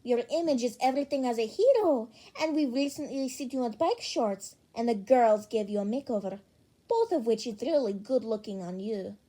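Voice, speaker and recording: A woman's voice: on the high side, animated, moderate pace, warm tone. A woman voicing a flamboyant, theatrical teenage boy who loves fashion, with a dramatic, gushing delivery in a fan fiction reading.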